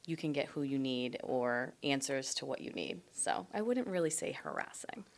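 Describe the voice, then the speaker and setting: A low-pitched woman in her thirties, a native Portuguese speaker, talks as a guest on a podcast. She has a relaxed, friendly way of speaking and fills her pauses with "um" and "you know.". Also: vocal fry. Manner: relaxed, friendly, with frequent fillers. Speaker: clinician guest. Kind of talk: casual podcast interview.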